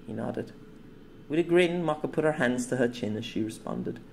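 A man reading an audiobook story in a measured storytelling manner. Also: medium pitch, expressive, vocal fry, darkish tone, slight background noise. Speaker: audiobook narrator. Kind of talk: scripted narration.

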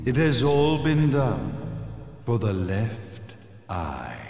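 A man's voice: mid-range voice, big pitch swings, drawn-out words. An actor playing a grandiose, coolly menacing zealot sage in a video game, pompous and theatrical in his phrasing. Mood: grandiose, gloating. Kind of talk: dramatic video game villain monologue. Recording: echoey hall, long reverb, music behind.

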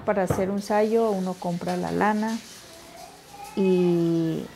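Middle-aged weaver lady from putumayo, earnest, lowish flat voice, roosters in the back. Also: slow, vocal fry, background noise. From Putumayo, Colombia. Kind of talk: documentary interview.

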